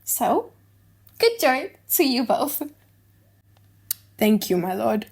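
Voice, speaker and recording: Chatty, bragging young suitor female reader, high-pitched and jovial, for an audiobook stage classic. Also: sing-song, takes it slowly, gravelly, slightly rough, exaggerated voice. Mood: jovial, eager. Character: boisterous, talkative young gentleman friend in love. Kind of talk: dramatized audiobook of a classic play.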